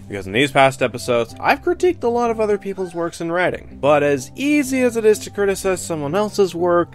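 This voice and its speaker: Man, young adult, animated pitch, mid register, sarcastic comedic critique, self-mocking, faint background music. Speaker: host of a fan fiction review show. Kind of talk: scripted comedic critique narration.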